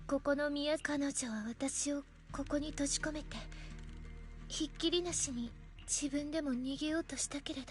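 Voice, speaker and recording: A woman's voice: high voice, fairly even intonation, fast, lightly acted. A woman voicing an earnest, confiding young woman in an anime game. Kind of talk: Japanese game voice acting. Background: game music.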